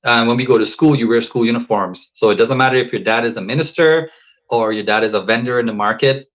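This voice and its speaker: A man from Guyana, a coach and father, talking reflectively in a podcast interview, measured and thoughtful with many fillers and restarts. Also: medium pitch, a bit bright, low-quality recording.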